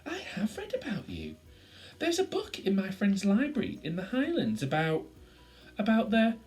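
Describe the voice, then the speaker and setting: A storyteller voicing a confident girl who leads her friends in a children's story, brisk, encouraging and commanding. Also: medium pitch, sing-song, darkish tone, soft background music, voice pushed up, close to an everyday voice. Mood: tactful.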